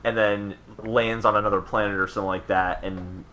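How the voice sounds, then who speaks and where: A man's voice: lowish voice, little pitch movement, a little fast, bright, forceful tone. A man co-hosting a casual podcast conversation, talking in an enthusiastic, rambling way. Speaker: podcast co-host. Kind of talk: casual chat about games and movies.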